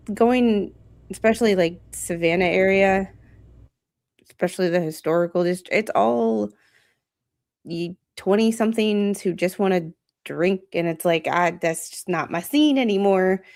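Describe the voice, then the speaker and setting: A woman in her forties chatting casually as a guest on a stream, talkative and quick to laugh at herself. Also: mid-range voice, vocal fry, a little mellow. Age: 40s. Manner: chatty, laughs often, self-deprecating. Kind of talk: casual chat.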